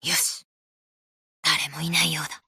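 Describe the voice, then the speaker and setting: A woman's voice: deep voice, little pitch movement, fast, gravelly, sharp tone, husky, no echo, studio quality, exaggerated voice. A woman voicing a worldly adult schoolteacher in a visual novel, speaking in a blunt, informal, tomboyish way. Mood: hushed, careful. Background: guitar game music.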